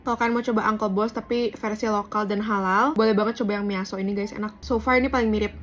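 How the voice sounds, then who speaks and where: A woman's voice: mid-range voice, steady tone, fast, slight background noise. A woman with an Indonesian accent giving a casual mukbang review as a food vlogger, slangy and dropping in English words.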